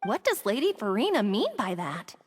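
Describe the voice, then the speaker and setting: A woman's voice: mid-range voice, very animated, moderate pace, faint music behind, close to an everyday voice. A woman playing a doubting female spectator at a court in a video game, in a reverberant hall. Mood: doubtful. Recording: reverberant large-hall sound.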